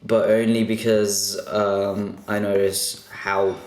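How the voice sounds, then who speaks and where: A man's voice: lowish voice, little pitch movement, slow, darkish tone. A young man casually answering viewer questions in a vlog, chatty and a bit self-conscious, with lots of fillers. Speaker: vlogger. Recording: echoey room.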